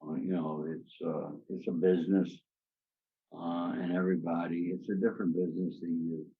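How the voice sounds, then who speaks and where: A man's voice: deep voice, flat delivery, normal speed, vocal fry, phone-quality sound. An older American man from upstate New York telling his own story in plain, colloquial conversation as an interview guest. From upstate New York, USA. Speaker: interview guest, former mobster. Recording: echoey room with faint noise.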